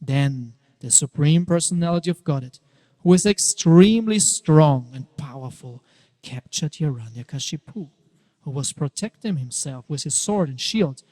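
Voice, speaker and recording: A man narrates scripture as drama, with a slightly high voice and emphatic, theatrical phrasing that swells and drops for effect. His voice rings in a long hall reverb. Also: darkish tone.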